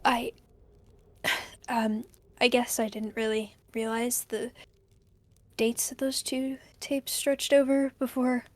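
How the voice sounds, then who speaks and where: A woman playing a weary, lonely college student recording a halting personal log in an audio drama. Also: on the high side, flat delivery, no room sound. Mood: subdued, unsettled. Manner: hesitant, halting, sighing. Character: weary, lonely college student stuck alone in a snowbound house.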